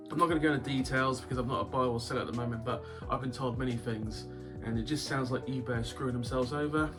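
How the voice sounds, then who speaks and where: Sore-throated man, slightly high voice. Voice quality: slightly muffled by a cough sweet in the mouth. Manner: rambling, wry, chuckles often. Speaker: YouTube game collector host. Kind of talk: casual chat vlog. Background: background guitar music.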